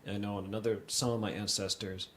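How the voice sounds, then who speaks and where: Guy, deep-ish voice, thoughtful personal talk, bit of noise behind him. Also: flat delivery, fast. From United States. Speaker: conference speaker. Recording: faint background noise.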